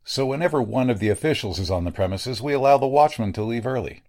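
A man's voice: medium pitch, expressive, fast talker, darkish tone, lightly acted. A man acting a polite, evasive, grave morgue doctor in a 1940s-style radio drama, hesitant and formal. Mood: genial, dismissive. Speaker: actor in an audio drama.

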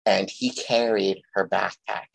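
Male host, low and gravelly, gentle storyteller, dry close sound. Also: fairly even intonation, a little slow, no echo, poor audio. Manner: gentle, thoughtful, tells stories. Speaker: podcast host. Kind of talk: reflective interview chat.

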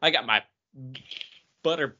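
Bright-voiced man, muffled recording. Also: fairly high pitch, unhurried, husky. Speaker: podcast co-host. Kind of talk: casual conversational chat. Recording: dull, lo-fi audio.

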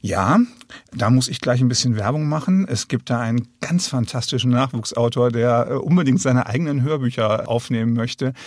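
A man's voice: medium pitch, normal speed, a little mellow, no echo, clean studio sound. A middle-aged man chatting as an interview guest, with a wry, self-deprecating way of joking. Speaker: interview guest, audiobook director and author. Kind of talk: casual conversational interview.

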